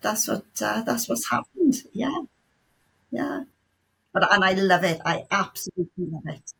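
A woman's voice: medium pitch, animated, a little slow, vocal fry, pushed and bright, breathy edge. A middle-aged woman with a South Welsh accent, a sign language tutor answering interview questions in a chatty way, full of restarts and playful asides. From South Wales.

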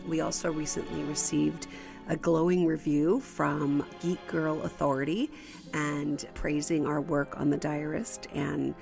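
Low-voiced, creaky woman podcast host. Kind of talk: informal thanks and announcements to listeners.

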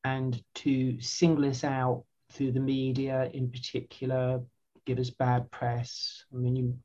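A woman's voice: a bass voice, completely flat delivery, a little slow, warm tone, lo-fi sound. An older woman, earnestly answering interview questions with frequent restarts and repeated words. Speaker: website founder, guest.

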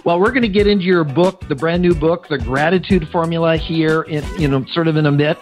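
A man's voice: on the high side, brisk, faint music behind. A man hosting a podcast interview, chatty and playfully teasing his guest. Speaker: podcast host.

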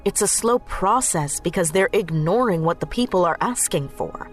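Actress as blunt young woman activist, expressive. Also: lowish voice, normal speed, soft background music, natural voice. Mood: firm, confrontational. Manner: blunt, plain-spoken. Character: Sarah, fragile but blunt young activist leader. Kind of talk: naturalistic audio drama acting.

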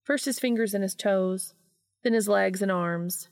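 A woman's voice: mid-range voice, measured pace, dry recording, studio quality. A woman reading a story aloud as scripted audiobook narration. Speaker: audiobook reader of fan fiction.

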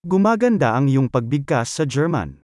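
A man reading short translated phrases one at a time in a clear, scripted delivery for a language lesson. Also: mid-range voice, big pitch swings, moderate pace, darkish tone, no echo, clean studio sound. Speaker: Filipino phrase reader.

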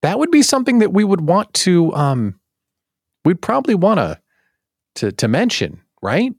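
A man hosting a live show in casual chat, jokey and animated, often breaking off his own sentences and throwing out rhetorical questions. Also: sits high, moderate pace, no echo, clean studio sound. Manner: animated, jokey, self-interrupting, exclaims and asks rhetorical questions. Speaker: host of a daily live show.

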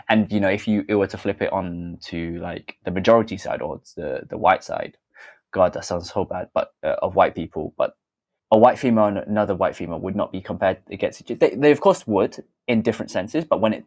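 A young British man who dances and makes theatre, speaking candidly and thoughtfully in an interview, with many fillers and self-corrections. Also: sits low. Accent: British English. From Britain. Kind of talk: interview answer, reflective storytelling.

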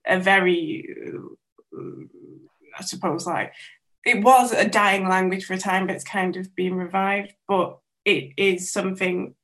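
A woman's voice: mid-range voice, a bit bright. A young woman artist answering interview questions in a thoughtful, hesitant way with many fillers. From Wales. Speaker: artist guest.

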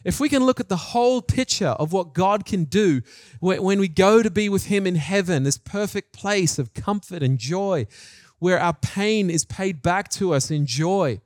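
Higher-voiced Australian man preaching. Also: expressive, normal speed, no echo. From New Zealand and Australia. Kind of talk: sermon with personal stories.

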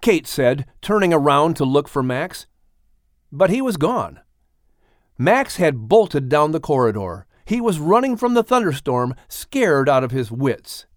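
A man's voice: on the high side, sing-song, measured pace, dry recording, clean studio sound. A man reading a dramatic story as an audiobook narrator in a scripted storytelling style.

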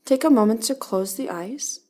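A woman leading a guided body-scan meditation, giving calm, gentle instructions in short, spaced phrases. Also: a little high, moderate pace.

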